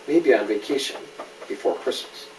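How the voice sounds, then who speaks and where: Middle-aged man, animated storybook reader chatting to a child; roomy, slightly noisy home recording. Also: medium pitch, normal speed, far from the mic. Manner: animated, checks in with questions. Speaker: father reading a storybook aloud to a child. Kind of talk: bedtime story reading with asides to a child.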